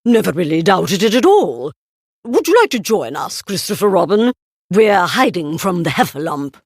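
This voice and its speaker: A woman's voice: fairly low pitch, very animated, normal speed, breathy edge, no echo, clean studio sound, heavily acted. Woman voicing a self-assured elderly owl.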